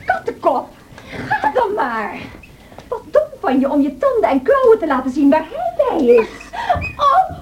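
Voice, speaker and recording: Spirited young wife in radio drama: haughty, taunting, high-pitched and very animated, slightly noisy vintage recording. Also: female voice, a little slow, full character voice. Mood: teasing. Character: spirited, teasing, imperious young married woman.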